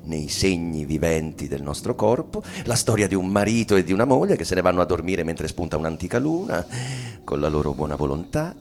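A man's voice: low voice, a little mellow. An actor playing an anxious, brooding husband and father in a theatrical radio play reading, declaiming and then dropping to a murmur. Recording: clear background ambience noise. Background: ambient sound design.

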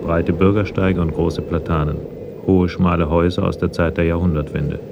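A man's voice: low-pitched, level tone, moderate pace, creaky, lo-fi sound, noisy background. A man reading scripted narration as the narrator of a German radio crime drama.

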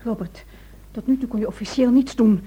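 An actress playing an anxious wife in a Dutch radio play, urgent and stammering with worry. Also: female voice, a little high, brisk, background noise, lightly acted. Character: anxious, pleading wife afraid for her husband. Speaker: actor.

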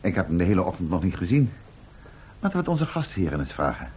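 A man's voice: sits low, wildly swooping pitch, fast, a little mellow, slight background noise. A man acting a wry, bookish assistant with an articulate, florid way of talking in an old radio drama. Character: wry, bookish male expedition assistant who writes the chronicle.

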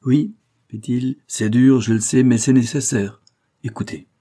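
Man voicing a husky, commanding, shrewd mentor. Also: mid-range voice, steady tone, a little slow, dark tone, pitched above the performer's own voice. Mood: brisk, persuasive. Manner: emphatic, peremptory, ironic. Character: brisk, commanding, shrewd male mentor, wryly jovial. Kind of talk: audiobook character dialogue.

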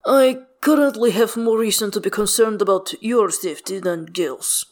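A woman voicing an unflappable male bodyguard in a story, measured, tactful and formal. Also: medium pitch, voice pushed up, lightly acted. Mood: calm, matter-of-fact.

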